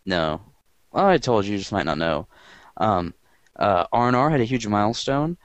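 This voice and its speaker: A man hosting a podcast in casual chat, with a quiet, monotone voice and a rambling, dry way of talking. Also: lowish voice, moderate pace, dry recording. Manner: rambling and dry, filler-heavy. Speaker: podcast host.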